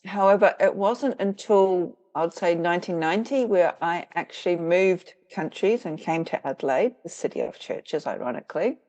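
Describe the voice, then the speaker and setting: A woman's voice: on the low side, moderate pace, hardly any pauses, a little mellow, dull audio. A middle-aged woman with a New Zealand accent, a guest in an interview, telling her story in a reflective, easygoing way with easy laughter. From rural New Zealand. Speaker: guest, witch and author. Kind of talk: conversational interview.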